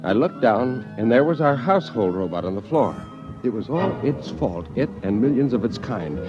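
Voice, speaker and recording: Male actor as frustrated American husband and narrator, 1950s radio drama. Very animated, excitable, dull old broadcast sound with music. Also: medium pitch, normal speed, natural voice. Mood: wry narrating. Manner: exasperated, indignant, theatrical. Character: frustrated out-of-work bassoonist husband, excitable and sarcastic. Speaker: lead actor and first-person narrator.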